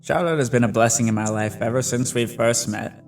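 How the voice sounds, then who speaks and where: Comic-dub drama: male actor as courtly gentleman hunter, smooth-mannered with quiet menace, medium pitch, prominent music bed. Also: a little fast, close to an everyday voice. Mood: fond, reflective. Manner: genteel, wordy, calls people dear and darling. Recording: voice over clear background music.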